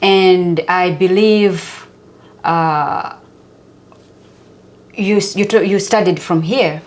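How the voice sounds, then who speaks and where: A woman's voice: fairly low pitch, very slow, darkish tone. A woman hosting a casual interview, speaking in an effusive and encouraging way and often murmuring agreement. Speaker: show host.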